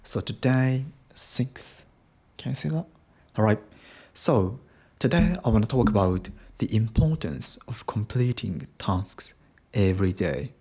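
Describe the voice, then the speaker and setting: A Japanese man speaking English with a Japanese accent over a British base, talking alone on his daily podcast in a halting way, often restarting and repeating phrases. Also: lowish voice, animated, warm tone, husky. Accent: Japanese-accented English aiming at British. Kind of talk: daily solo podcast talk.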